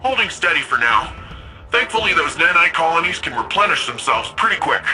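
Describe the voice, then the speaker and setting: An actor playing an upbeat, cocky young guardian of a futuristic city, slangy and playful, in video game dialogue. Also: male voice, fairly high pitch, sing-song, a little fast, bright, forceful tone, heavily acted, rough recording. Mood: casual, alert.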